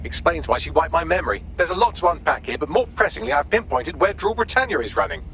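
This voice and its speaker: Sardonic male AI sidekick for a game: higher-pitched, lively swoops, smug and chatty. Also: quick pace, a little hiss or hum. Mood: wry, breezy. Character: witty, smug male AI assistant. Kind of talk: cinematic video game acting.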